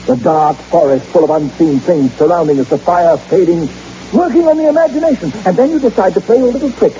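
An actor playing a brusque, pompous, scornful doctor on a hunting trip in an old radio drama. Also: male voice, high-pitched, animated, brisk, warm tone. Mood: smug, irritated. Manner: dismissive, impatient, pompous. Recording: muffled, hissy vintage radio drama recording.

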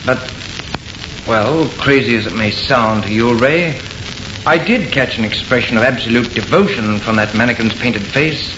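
An actor playing an exasperated, short-tempered painter husband in an old-time radio horror drama. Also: male voice, medium pitch, moderate pace, natural voice. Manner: impatient, irritable, theatrical. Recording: muffled, noisy, echoey old radio recording.

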